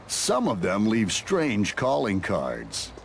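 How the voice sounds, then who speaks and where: A man's voice: on the low side, wildly swooping pitch, takes it slowly, creaky, a little hiss or hum, exaggerated voice. A man narrating a motorcycle video game in a scripted, reality-show storytelling style.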